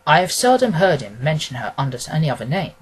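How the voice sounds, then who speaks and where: Male audiobook narrator, husky voice, highly varied intonation, formal measured delivery, literary storytelling. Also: sing-song, poor audio. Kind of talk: scripted dramatic narration of a classic detective story.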